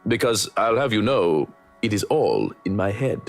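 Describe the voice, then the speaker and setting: An actor playing a fastidious, proud butler and cook in a visual novel game, dry and lecturing in manner. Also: mid-range voice, big pitch swings, soft background music. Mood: fussy, territorial.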